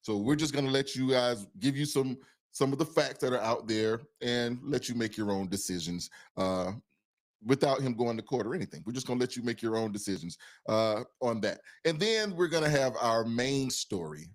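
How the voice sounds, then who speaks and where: A man's voice: mid-range voice, lively intonation, normal speed. A man co-hosting a podcast in a loose, casual way, often repeating and restarting his phrases. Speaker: podcast co-host.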